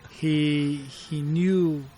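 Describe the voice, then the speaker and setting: A man in his fifties from Bulgaria, speaking English with a Bulgarian accent as a martial arts teacher being interviewed, pausing often to search for words. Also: a little high, fairly even intonation, mellow, low-quality recording. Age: 50s. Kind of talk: interview storytelling.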